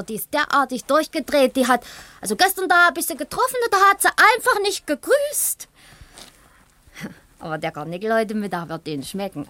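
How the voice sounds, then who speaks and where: An actress playing a chatty, nosy village housewife who talks in a colloquial, confiding way in a radio play. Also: female voice, fairly high pitch, sharp tone, no room sound. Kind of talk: naturalistic German radio play acting.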